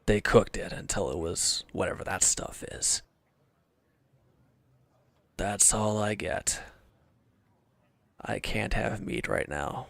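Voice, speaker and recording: A man plays a wounded villain in an audio drama, with a low voice. He is bitter and withdrawn, answering in curt, grudging phrases as if guarding himself. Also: slow, gravelly, pauses often, a bit bright, dry recording, heavily acted. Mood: sullen, evasive. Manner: curt, evasive, grudging, sighing. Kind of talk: scripted audio roleplay acting.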